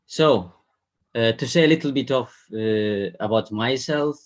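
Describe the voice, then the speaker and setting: A man in his thirties from Ethiopia giving a slide presentation on a video call, pausing often on "uh" fillers. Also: medium pitch. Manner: hesitant, many "uh" fillers. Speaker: webinar presenter.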